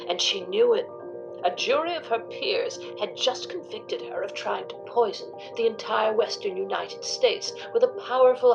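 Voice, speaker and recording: An actress as a stern, righteous middle-aged woman in an audio drama, bitter and pious, with creak in her voice. She speaks deliberately, in a lo-fi recording over music. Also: medium pitch, close to an everyday voice. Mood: cold, dismissive. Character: bitter, pious middle-aged daughter of a disgraced film star. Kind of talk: dramatized interview. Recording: dull lo-fi sound with music.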